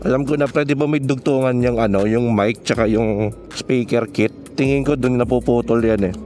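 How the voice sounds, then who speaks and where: A man's voice: medium pitch, normal speed, darkish tone, soft background music. A Filipino man vlogging on a motorcycle, talking in a casual, joking, slangy way and mixing in English. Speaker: motovlogger. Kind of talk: casual chat while riding a motorcycle. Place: outdoors on a motorcycle in city traffic.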